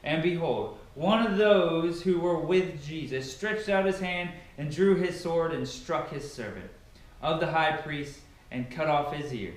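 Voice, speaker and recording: A man's voice: on the high side, a little slow. A man narrating scripture as a dramatic reading. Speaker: Bible reader, narrator. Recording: heavily echoing room.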